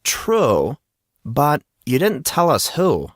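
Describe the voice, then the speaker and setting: Audiobook role: man playing cocky, inquisitive teen boy, slangy, raised pitch, dry studio sound. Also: huge pitch swings, slow pace, dry recording, heavily acted. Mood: probing. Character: brash, slangy teenage schoolboy, inquisitive and confident. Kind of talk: audiobook character dialogue.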